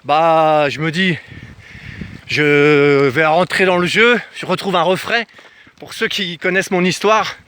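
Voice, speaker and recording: Bright-voiced man, animated storyteller. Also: on the high side, measured pace, a little hiss or hum. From France. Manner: animated, acts out reported dialogue. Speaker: travel vlogger. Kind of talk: casual personal storytelling to camera. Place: outdoors in the countryside.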